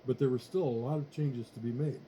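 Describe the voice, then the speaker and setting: Man, very dark relaxed tone, scripted tutorial narration, measured and matter-of-fact, slight background noise. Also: mid-range voice, poor audio. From United States.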